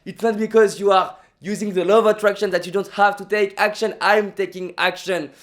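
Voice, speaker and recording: Man, french accent, motivational speaker, emphatic, repeats himself, slightly high-pitched. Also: steady tone, a little fast, fairly bright tone. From France. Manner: emphatic, repetitive phrasing with frequent restarts. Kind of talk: motivational vlog monologue.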